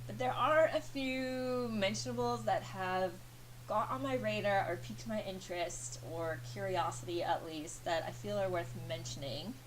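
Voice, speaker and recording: A woman's voice: medium pitch, rough recording. Woman vlogger, casual chat, filler words, light laughs, audible background hum. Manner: chatty, many fillers and small laughs.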